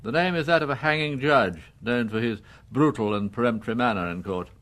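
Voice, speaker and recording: An older man with an English accent, acting a proud, icy judge in a radio drama, with formal, measured, pompous diction. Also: medium pitch, a bit bright, lightly acted. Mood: coolly judicial.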